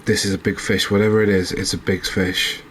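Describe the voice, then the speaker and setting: A man with a low voice gives cozy, ASMR-style game commentary. His pitch stays very even as he rambles and muses to himself, and some light noise sits behind him. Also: fairly quick. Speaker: gaming channel host.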